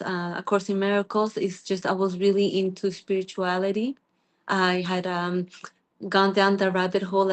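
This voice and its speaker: A middle-aged woman from Peru, sharing her spiritual journey as a guest in an earnest, halting way, with frequent restarts and soft laughs. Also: medium pitch. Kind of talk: reflective personal sharing in a group call.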